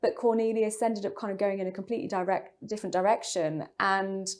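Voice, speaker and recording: A woman's voice: medium pitch, fairly even intonation, quick pace, darkish tone. An English woman, a novelist, talking through her writing in an interview, thoughtful and full of small fillers and restarts. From England. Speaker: novelist guest. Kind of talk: interview conversation.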